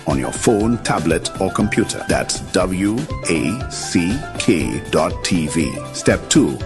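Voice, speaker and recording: A man's voice: low-pitched, big pitch swings, takes it slowly. A man reading a scripted instructional promo as a voice-over announcer, with music playing behind him. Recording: voice over prominent music bed.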